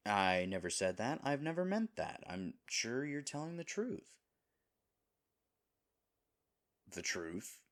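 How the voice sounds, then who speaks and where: A man playing a lovable, nervous himbo boyfriend in an intimate audio roleplay, earnest and rambling. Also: medium pitch. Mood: confused, flustered. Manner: earnest, rambling, hesitant, dropping into breathy asides.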